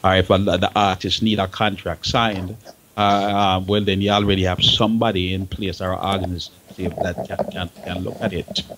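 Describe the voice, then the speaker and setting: A man's voice: low voice, flat delivery, moderate pace, fairly bright tone. A man speaking casually as a panel member in a talk show discussion.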